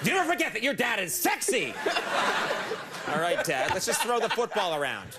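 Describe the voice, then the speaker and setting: Man, late-night host, joking, self-mocking, lively up-and-down pitch, studio audience noise behind. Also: a little fast. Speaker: talk show host. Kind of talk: comedic late-night interview banter.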